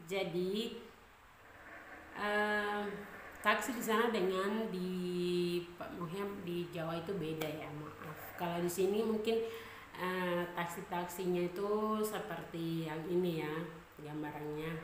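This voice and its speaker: A woman's voice: fairly low pitch, fairly even intonation, slow. Papuan woman vlogging in an echoey room. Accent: Papuan Indonesian. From Papua, Indonesia. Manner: chatty, many fillers and repeated phrases. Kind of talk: casual vlog talk to camera. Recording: echoey room with clear background noise.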